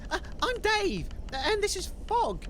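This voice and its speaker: Very high, excitable wasteland DJ. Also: male voice, unhurried, a little hiss or hum, heavily acted. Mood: desperate, excited. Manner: melodramatic, fretful, earnest. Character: excitable, whiny, naive male wasteland radio DJ. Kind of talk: comedy audio drama character acting.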